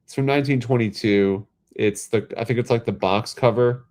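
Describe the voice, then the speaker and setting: A man, congested and a little nasal from a head cold, chatting casually and haltingly on his livestream, full of fillers and restarts. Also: medium pitch, a little mellow. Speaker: livestream host.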